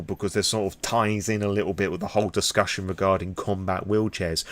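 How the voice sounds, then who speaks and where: A man's voice: fairly low pitch, no echo. A British man talking off the cuff to his viewers in a personal, opinionated vlog, blunt and emphatic in manner. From Britain. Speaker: vlogger on roleplaying games.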